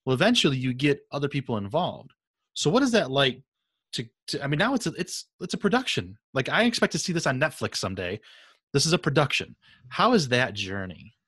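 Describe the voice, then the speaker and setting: A man's voice: medium pitch, sing-song, fairly quick, a bit bright, slightly rough, no echo. A man interviewing casually as a podcast host, with an enthusiastic, effusive manner.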